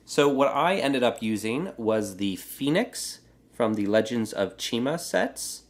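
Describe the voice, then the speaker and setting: A man with a stuffy, congested voice from a cold, hosting a casual how-to building tutorial and explaining it in a relaxed way with frequent filler words. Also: medium pitch, unhurried. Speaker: Lego builder and channel host.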